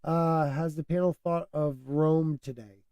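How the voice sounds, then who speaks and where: A man hosting a casual podcast, stuffed up and losing his voice, talking in an animated, opinionated way and laughing often. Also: on the high side, a little slow, clear, resonant tone, no room sound, studio quality. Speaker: podcast host.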